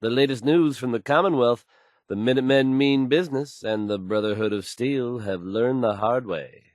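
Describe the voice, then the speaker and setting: A man's voice: medium pitch, moderate pace, no echo, studio quality, close to an everyday voice. A man playing a self-assured radio newscaster in a video game, fluent and folksy as he announces the news. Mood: grave, confident. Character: confident, smooth, assured male radio newscaster.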